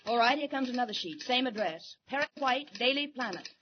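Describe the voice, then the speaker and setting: An actress playing a brash, competitive young newspaper reporter, brisk and emphatic in 1940s radio serial style. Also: female voice, a little high, lively intonation, lightly acted. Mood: smug, teasing. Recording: muffled old radio recording, faint noise.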